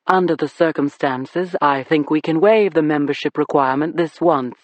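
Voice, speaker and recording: A narrator voicing a cheerful, smooth-talking man with a deep British accent, genteel and evasive in manner. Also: normal speed, no echo, close to an everyday voice.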